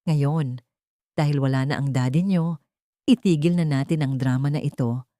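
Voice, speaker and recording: A woman's voice: sits low, moderate pace, warm tone, no echo, studio quality, natural voice. A woman voicing a cold, cruel rich woman who commands and mocks, in an audiobook drama. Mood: cold, commanding. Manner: menacing, commanding, mocking. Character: cold, cruel rich woman hiding malice behind sweetness.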